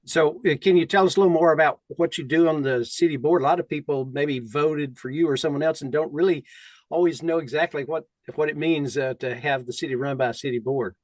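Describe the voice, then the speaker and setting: A man's voice: fairly high pitch, steady tone, fairly quick, no echo, poor audio. A man co-hosting an interview in a conversational, reflective and explanatory way. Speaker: interviewer, co-host.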